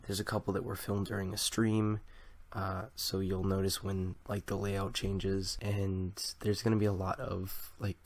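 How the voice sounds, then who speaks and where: A young man hosting a Minecraft series in casual chat, playing a bossy, exasperated detective with lots of ums and uhs. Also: deep voice. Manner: filler-heavy, exasperated bossy detective act. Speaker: channel host and Minecraft roleplayer. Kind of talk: casual let's-play chat and improvised roleplay.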